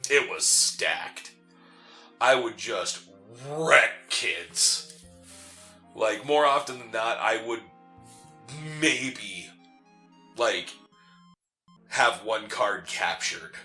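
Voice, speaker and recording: A man chatting casually as co-host of a video game playthrough, telling stories in a rambling, animated way. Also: mid-range voice. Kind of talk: casual chat over gameplay.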